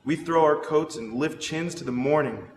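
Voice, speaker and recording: A man giving a dramatic poetry reading with measured, theatrical delivery. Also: on the high side. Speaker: drama student reader. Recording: echoey reverberant room with background noise.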